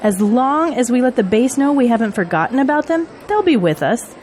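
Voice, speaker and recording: A woman's voice: fairly high pitch, lively intonation, a little fast, darkish tone, a little hiss or hum, close to an everyday voice. A woman acting in an audio drama as a busy, earnest political campaign manager and single mother. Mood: upbeat, confident.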